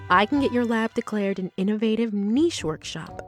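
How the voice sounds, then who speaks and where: A woman's voice: mid-range voice, moderate pace, faint music behind, close to an everyday voice. An actress playing a shrewd, determined female lab assistant in a fantasy audio drama, assertive, persuasive and businesslike. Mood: pleading, persuasive. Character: shrewd, determined woman lab assistant, street-smart schemer.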